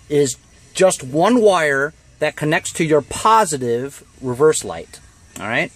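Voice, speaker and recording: A man talking casually through a hands-on how-to in a blunt, colloquial, grumbling way. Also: a little high. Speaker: DIY how-to video maker. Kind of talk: casual hands-on tutorial chat. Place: in and around a cargo van.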